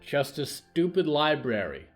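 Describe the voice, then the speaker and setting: A man as a grumbling young boy, raised pitch. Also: big pitch swings, a little slow, faint music behind. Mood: grumpy, fed up. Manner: grumbling, folksy, stubborn. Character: grumpy, reluctant young boy lost in woods.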